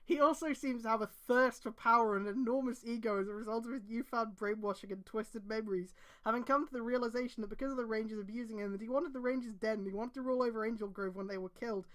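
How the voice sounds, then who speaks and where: A young woman reading a web page aloud in casual chat, sarcastic and swearing, with sudden loud outbursts. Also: fairly high pitch, fairly even intonation, fast talker, hardly any pauses. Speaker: host.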